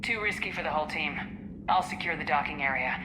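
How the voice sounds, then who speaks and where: An actress playing a steady, reassuring and decisive female military commander in video game dialogue. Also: deep voice, a little fast, noisy background, natural voice.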